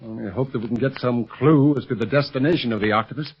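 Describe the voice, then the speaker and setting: A man playing a stern, sardonic American secret police leader with a husky voice in a 1930s radio serial. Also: medium pitch, fast. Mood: concerned. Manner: exasperated, authoritative, sardonic. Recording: muffled 1930s radio recording.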